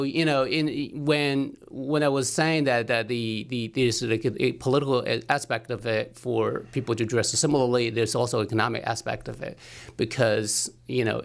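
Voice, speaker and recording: A man from China, a professor guest, speaking in an interview with many halting restarts. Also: medium pitch, gravelly. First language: Chinese. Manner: hesitant, many restarts and fillers. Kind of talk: studio interview.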